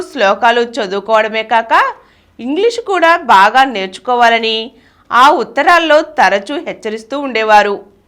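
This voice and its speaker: A woman reads a written memoir aloud in the first person. Her voice sits high and moves through a wide, animated range of pitch. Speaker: reader of a memoir.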